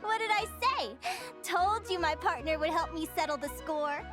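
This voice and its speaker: Spirited young girl adventurer, high voice, sincere, earnest, video game dialogue, light music behind. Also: moderate pace. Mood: triumphant. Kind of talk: scripted game voice acting.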